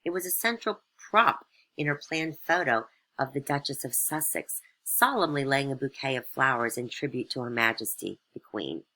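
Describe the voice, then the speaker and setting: Casting: female storyteller for satirical fairytale narration, extremely low pitch, bright forceful tone. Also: animated, moderate pace.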